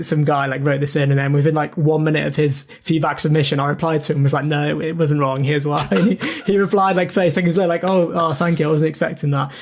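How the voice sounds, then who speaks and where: A man, a newsletter editor, speaking casually in an interview with many "like"s and "um"s. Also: on the high side. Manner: relaxed, many fillers like "like" and "um".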